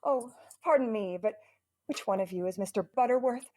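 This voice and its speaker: A woman's voice: mid-range voice, moderate pace, warm tone, slight background noise, natural voice. A woman playing a swooning, adoring female fan in an old-time radio comedy. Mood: adoring, gushing.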